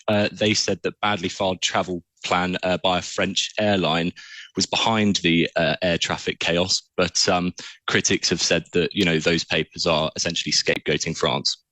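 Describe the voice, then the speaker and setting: Low-voiced man, dry sound. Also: pushed and bright, dry recording, poor audio. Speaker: aviation journalist, news guest. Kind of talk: live news interview commentary.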